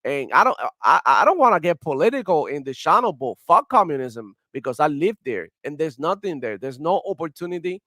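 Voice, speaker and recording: A man's voice: a little high, very animated, brisk, few pauses, sharp tone, dry recording, studio quality. Heavily accented Cuban man ranting. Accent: Cuban Spanish. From Cuba. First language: Spanish. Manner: rambling, heated, many fillers and restarts. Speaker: gaming streamer. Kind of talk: casual live-stream commentary.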